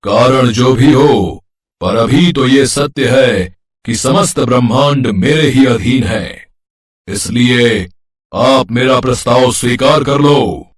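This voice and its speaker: A man voicing an arrogant, menacing demon king in a mythological cartoon story, boastful and theatrical. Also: lightly acted. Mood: insistent, proud. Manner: boastful, theatrical, drawn-out delivery with booming threats.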